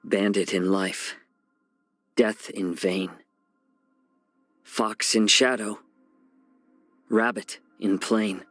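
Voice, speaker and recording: An actor voicing a genial, sly fox-man healer and chef in a video game, speaking softly and smoothly in a measured, gently teasing way. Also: on the low side, dry recording, exaggerated voice. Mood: solemn. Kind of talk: scripted game character voice lines.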